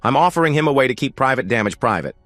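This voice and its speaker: A man's voice: mid-range voice, lively intonation, racing, fairly bright tone, dry recording, faint music behind, lightly acted. A man voicing a manipulative male rival who talks in a pushy, persuasive way. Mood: pressuring.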